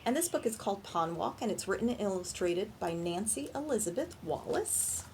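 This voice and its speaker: A woman's voice: medium pitch, expressive, darkish tone. Woman reading a storybook, noisy recording. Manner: pauses to ask children questions and explain simply. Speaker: children's librarian and storytime reader. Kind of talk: animated picture-book read-aloud for young children.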